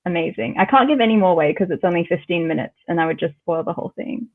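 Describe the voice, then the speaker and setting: A woman's voice: fairly low pitch, lively intonation, fast talker, phone-quality sound. A woman guest chatting casually and enthusiastically on a podcast, giggly, over a thin remote line. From Malaysian Chinese, living in Australia. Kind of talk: casual chat.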